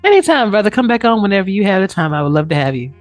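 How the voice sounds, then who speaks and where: A woman's voice: mid-range voice, sing-song, rapid-fire, no room sound, soft background music. A woman hosting a podcast, chatting casually as she interviews guests. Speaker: podcast host.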